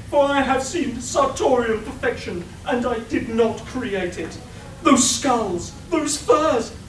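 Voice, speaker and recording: A woman playing a pretentious, melodramatic artificial fashion aesthete in a comic radio play. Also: a little high. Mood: anguished awe. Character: overwrought constructed fashion aesthete, pompous and despairing. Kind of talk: live radio play comedy acting. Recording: echoey room, distant, faint noise.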